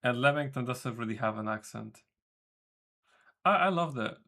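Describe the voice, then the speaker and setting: Gravelly-voiced man chatting casually. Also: mid-range voice, normal speed, bright, forceful tone. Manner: rambling, self-correcting, casual swearing. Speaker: game developer streaming. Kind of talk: casual chat with stream viewers. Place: home room.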